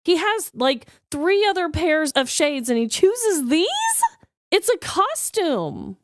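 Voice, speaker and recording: Lively, higher-pitched woman chatting casually. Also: slow, a little hiss or hum. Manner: playful, exclamatory, slangy. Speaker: podcast co-host. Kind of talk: casual comedic chat.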